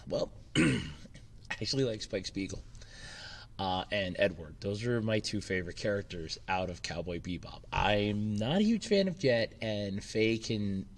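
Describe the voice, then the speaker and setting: A man hosting his own channel in a casual question-and-answer chat, a little scratchy from a cold, rambling with fillers and restarts. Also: lowish voice. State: slightly scratchy, recovering from a cold. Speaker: YouTube channel host. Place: temporary makeshift room with echo. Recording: echoey temporary room.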